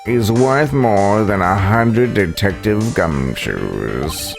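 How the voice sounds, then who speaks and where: A man acting out a pompous ace detective from a video game, very slow and grandly theatrical. His pitch sweeps up and down as he admires himself, over faint music. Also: lively intonation, gravelly, full character voice. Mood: pompous, grandiose. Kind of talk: dramatic video game dialogue reading.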